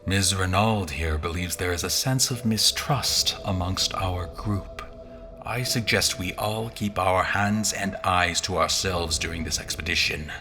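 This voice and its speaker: An actor playing a stern, wary general in an audio drama, speaking with formal, guarded politeness. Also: male voice, low voice, unhurried, over music. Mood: stern command. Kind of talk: dramatic audio fiction acting.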